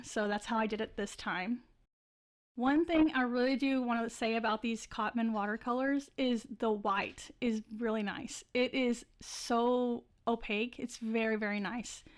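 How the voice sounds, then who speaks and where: A young woman narrating her drawing process in a casual, chatty way, her voice a bit scratchy from the flu. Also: fairly high pitch. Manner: chatty, many likes and restarts, laughs often. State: scratchy voice, getting over flu. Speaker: art channel host.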